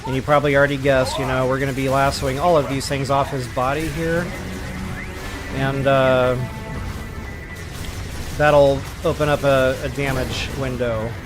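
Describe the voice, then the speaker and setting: Man, casual gaming commentator, medium pitch, talks to viewers, walkthrough style, clear game music underneath. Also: level tone, moderate pace, long pauses. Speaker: gaming channel host. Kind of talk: live gameplay walkthrough commentary.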